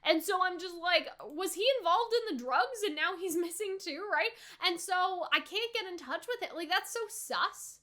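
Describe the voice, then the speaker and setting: Bright, high-pitched young woman reacting. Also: normal speed, few pauses. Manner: animated, rambling, heavy use of "like" and "right?". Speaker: anime reaction YouTuber. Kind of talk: casual reaction and review chat.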